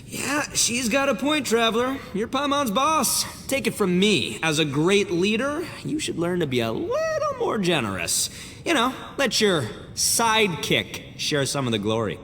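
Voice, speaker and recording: Wildly animated, brash gang boss. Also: male voice, sits high, a little slow, slight background noise, heavily acted. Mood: cocky. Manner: swaggering, theatrical. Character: brash, boastful young gang boss, goofy and good-natured. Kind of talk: video game cutscene acting. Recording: reverberant game dialogue with music behind.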